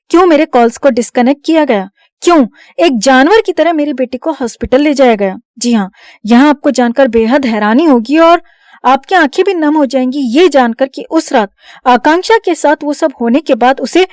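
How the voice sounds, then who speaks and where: A woman's voice: sits high, darkish tone, no room sound, studio quality. A woman reading a scripted news voice-over in a dramatic manner, talking straight to the viewers as friends. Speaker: news narrator.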